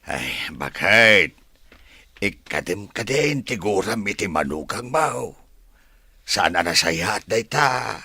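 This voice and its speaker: An actor playing a grumbling, sceptical older father in a radio drama, with exclamatory, drawn-out delivery. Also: on the low side, sing-song, creaky, sharp tone, husky, no echo, heavily acted.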